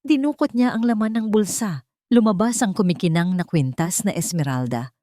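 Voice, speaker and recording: A woman's voice: medium pitch, normal speed, mellow, dry recording, studio quality. A woman reading a scripted, dramatic story as its narrator.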